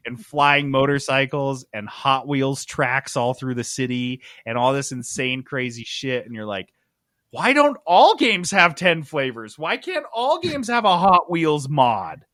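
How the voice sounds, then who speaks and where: A man's voice: medium pitch, sing-song, unhurried, a bit bright, no echo, clean studio sound. A man hosting a podcast with casual, joking and teasing banter, steering the discussion.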